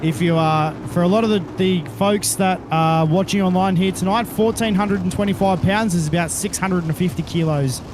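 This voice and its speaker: A man's voice: high-pitched, moderate pace, few pauses. An Australian man commentating a sim race, calling the cars and drivers in detail. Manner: calls the action with driver and car details, says mate and reckon. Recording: noisy broadcast mix with engine noise.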